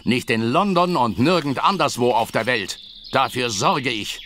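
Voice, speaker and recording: A man's voice: medium pitch, a little slow, fairly bright tone, slight background noise, natural voice. An actor playing a cruel, middle-aged aristocratic father in a German audio drama, speaking with scornful command. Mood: contemptuous anger. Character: imperious, cruel aristocratic father, contemptuous and domineering.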